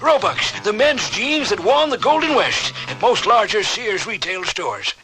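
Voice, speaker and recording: An actor playing a folksy, hearty old-timer cowboy in a radio commercial. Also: male voice, high-pitched, sing-song, unhurried, poor audio. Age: older.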